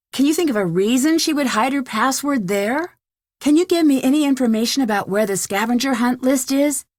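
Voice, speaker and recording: A woman's voice: on the high side, a little mellow, dry recording, clean studio sound. An actress playing a young female amateur detective in a video game, speaking scripted dialogue in an earnest, polite, questioning way.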